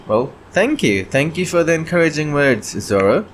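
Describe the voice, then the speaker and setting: A man chatting casually and haltingly as he thinks aloud while working on his indie game devlog. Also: on the high side, big pitch swings, slight background noise. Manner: halting, often clearing throat.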